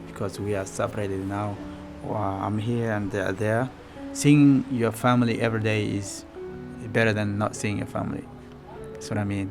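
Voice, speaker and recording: A man from Kenya, speaking hesitantly and reflectively about his family as an interviewee. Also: on the low side. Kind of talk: personal testimony.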